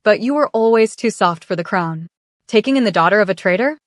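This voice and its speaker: A woman voicing a cold, scheming old mafia uncle in a smooth, icy manner, as part of a read-aloud story. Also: medium pitch, animated, fairly quick, no room sound, clean studio sound, lightly acted. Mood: menacing.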